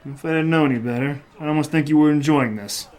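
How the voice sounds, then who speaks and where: An actor playing an irritable hard-boiled private eye in a noir audio drama, terse and wisecracking. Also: male voice, fairly high pitch, fast talker, a little mellow, lightly acted. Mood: gruff, wry. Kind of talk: noir radio drama acting.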